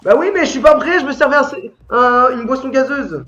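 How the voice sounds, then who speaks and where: Stuffy-nosed teen gamer, bright voice. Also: male voice, very high-pitched, lively intonation, fairly quick. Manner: rambling, swears freely, bursts into angry shouting at the game. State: stuffy nose from a cold. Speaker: streamer. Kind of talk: casual gaming stream chat. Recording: roomy echo, dull lo-fi sound, faint music.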